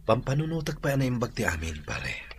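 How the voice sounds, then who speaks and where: An actor with an Ilocano accent playing an expressive man in an emotional radio drama. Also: medium pitch, brisk, a little hiss or hum. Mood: wistful.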